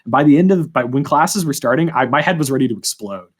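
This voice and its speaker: A man's voice: mid-range voice, quick pace, slightly rough. A young man hosting a podcast in casual conversation, informal and rambling, often restarting his sentences. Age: young adult. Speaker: podcast host. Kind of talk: casual chat.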